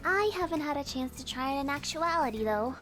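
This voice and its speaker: An eager, teasing young female engineering student in a visual novel game. Her voice sits on the high side and moves around expressively, with prominent music behind it. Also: expressive, a little fast. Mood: casual, thoughtful.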